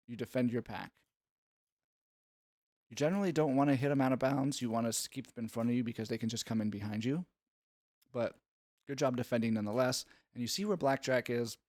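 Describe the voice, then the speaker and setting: A man's voice: medium pitch, a little mellow, dry recording, clean studio sound. A man giving analytical play-by-play sports commentary in an instructive, explanatory way.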